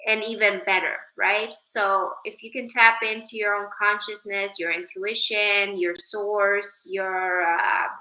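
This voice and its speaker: A woman's voice: medium pitch, little pitch movement, slow pace, hardly any pauses, bright, forceful tone, echoey room, low-quality recording. A woman from Sweden hosting a casual livestream, greeting viewers by name and musing about consciousness. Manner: chatty, reads viewer names aloud, drifts into spiritual musing. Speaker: livestream host. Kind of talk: casual livestream chat reading comments.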